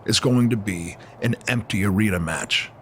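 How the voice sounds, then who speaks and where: A man's voice: on the low side, normal speed, fairly bright tone, lightly acted. Man as a dry, stern wrestling boss. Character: stern, scheming male wrestling general manager. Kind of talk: wrestling video game cutscene dialogue. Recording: faint background noise.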